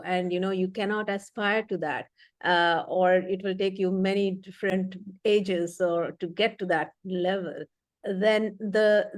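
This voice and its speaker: A woman's voice: lowish voice, a little mellow, dry recording. Woman, leadership coach, thoughtful, many fillers, dry recording. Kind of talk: conversational explanation.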